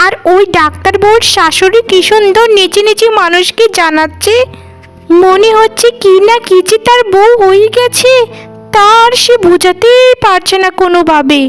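Woman putting on a high-pitched, spiteful village gossip. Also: moderate pace, faint music behind, rough recording. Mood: envious, scornful. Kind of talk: Bengali cartoon voice acting.

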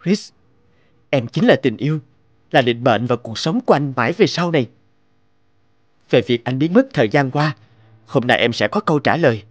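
A man voicing a devoted, romantic young boyfriend in an animated drama, speaking earnestly, tenderly and politely. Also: medium pitch, moderate pace, long pauses, no echo, lightly acted.

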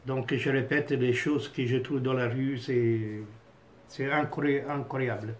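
A man in his fifties with an Italian accent, chatting haltingly to camera from a small home office, with many fillers and restarts. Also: medium pitch, fairly even intonation, slight background noise. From Italy. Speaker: vlogger. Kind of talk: casual rambling monologue to camera. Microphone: phone camera on a stand at desk.

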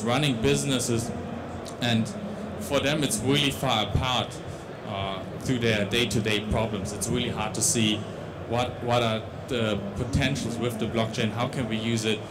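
A man's voice: medium pitch, steady tone, moderate pace, heavy background noise. A young man with a Dutch accent giving a tech conference talk on a large stage into a handheld mic, with many restarts and fillers. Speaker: conference speaker.

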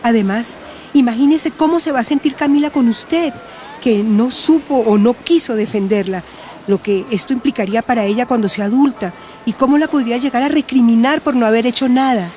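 An actress with a Colombian accent playing a caring but firm friend in a radio soap, earnest and persuasive. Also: female voice, fairly high pitch, fairly quick, warm tone, a little hiss or hum, lightly acted. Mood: firm, urging. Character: caring, firm woman who counsels friends. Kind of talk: radio soap opera acting.

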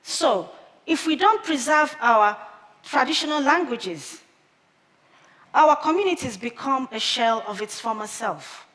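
A woman in her thirties, a native Igbo speaker, giving a prepared talk on stage at a measured pace with careful pauses, on a headset mic. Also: sits high, lively intonation, fairly bright tone. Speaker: conference speaker. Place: large theater stage with audience. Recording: long hall reverb from a big theater.

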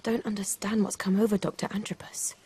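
An actress playing an indignant young philosophy student in a radio drama, blunt, earnest and plain-spoken. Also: female voice, medium pitch, level tone, fast talker, heavy background noise, lightly acted.

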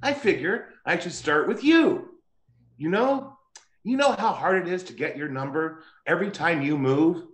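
Actor doing a pleading killer doll trying to make amends, swoopy pitch, echoey room. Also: animated, moderate pace, natural voice. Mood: insistent, wheedling. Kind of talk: dramatic scene performed over video call. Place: indoor room, remote video call. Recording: roomy echoing video-call audio.

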